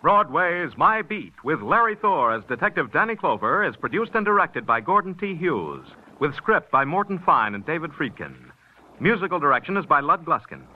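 A man's voice: a little high, very animated, normal speed. A man reading scripted announcements in the formal style of an old network radio announcer, on a muffled recording.

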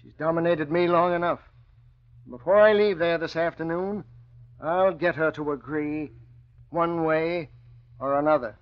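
An actor playing a bitter, aggrieved middle-aged husband with an emphatic, resentful manner, in a vintage radio drama. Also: male voice, fairly high pitch, fairly even intonation, a little slow, long pauses, a little hiss or hum, lightly acted. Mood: bitter, determined. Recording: muffled old radio recording.

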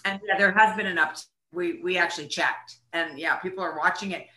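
A woman's voice: lowish voice, steady tone, fairly quick, pushed and bright. A woman chatting casually as an interview guest, with an emphatic, talkative way of speaking. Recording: roomy, echoing sound.

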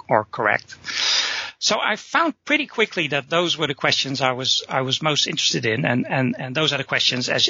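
A man with a Dutch accent speaks with a gravelly, husky edge and a piercingly bright, tense tone. He answers haltingly, doubling back on words as he works through ideas. The audio is dull and lo-fi. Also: mid-range voice, no room sound. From north of the Netherlands. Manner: hesitant, repeats words while thinking. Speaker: guest author. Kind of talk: casual interview answers.

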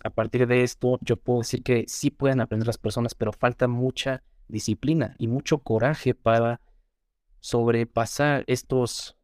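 A man's voice: mid-range voice, darkish tone, no room sound. Young Mexican man, earnest and dry. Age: young adult. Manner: earnest, motivational, repeats words as he thinks. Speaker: guest. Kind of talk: casual interview.

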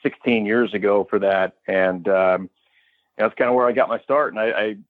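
A man's voice: on the low side, fairly even intonation, normal speed, dry recording, phone-quality sound. An American man in his thirties, a sports radio announcer, talking conversationally and at length as an interview guest. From Westchester County, New York, United States. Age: 30s.

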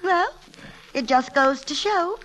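An actress playing a brisk, kindly middle-aged English widow with a firm, chatty manner in a classic radio drama. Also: female voice, extremely high pitch, animated, a bit bright, heavily acted, poor audio. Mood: cosy, chatty. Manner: firm, matter-of-fact, chatty.

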